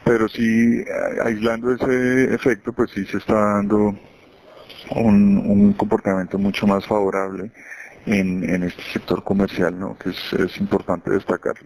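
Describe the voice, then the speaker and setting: A man speaks as a market analyst on a conference call. His voice sits fairly low and moves very little in pitch. He explains things off the cuff, often repeating a word while he forms the next phrase. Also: monotone, measured pace, darkish tone, poor audio.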